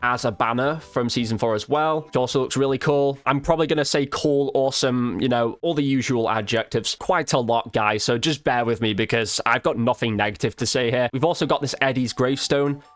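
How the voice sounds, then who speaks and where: Man, gaming video host, mid-pitched voice, informal chatty commentary, close mic. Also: brisk, hardly any pauses, dry recording. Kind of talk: casual game review commentary.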